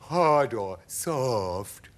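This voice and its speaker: A man's voice: mid-range voice, lively intonation, very slow. A man voicing an enormous female spider in a storybook, polite, caring and attentive.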